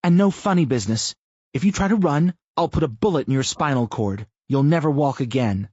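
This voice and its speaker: A man's voice: fairly high pitch, very animated, normal speed, breathy edge, dry recording, pitched above the performer's own voice. A male narrator voicing a tough, blunt woman who gives threatening orders in an audiobook. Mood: hard, commanding. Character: tough woman with a gun, commanding. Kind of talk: audiobook character voice. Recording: muffled lo-fi audio.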